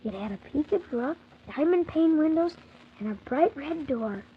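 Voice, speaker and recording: A performer playing Tim, a polite young boy telling his story with earnest, animated wonder on 1940s children's radio. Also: high-pitched, measured pace. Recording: muffled, hissy 1940s radio transcription.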